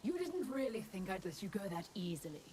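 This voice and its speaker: A woman's voice: mid-range voice, very animated, brisk, background noise, close to an everyday voice. An actress playing a ruthless cybernetic woman assassin in a video game, speaking in a clipped, imperious, emotionless way. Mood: commanding, disdainful. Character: cold, ruthless cybernetic woman assassin turned machine program. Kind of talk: video game character voice lines. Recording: echoing game audio over music. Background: music.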